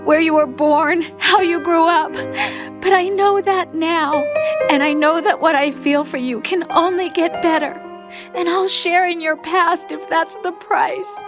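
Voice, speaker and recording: An actress playing a tender, worried young woman in love, gentle and pleading, in an emotional video game cutscene. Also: extremely high pitch, steady tone, unhurried. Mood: tearful devotion. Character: tender, worried young woman consoling the man she loves. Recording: music behind the voice.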